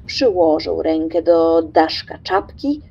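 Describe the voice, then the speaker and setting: Slow, dark, husky woman narrator. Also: sits low, lively intonation, muffled recording. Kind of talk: scripted radio play narration.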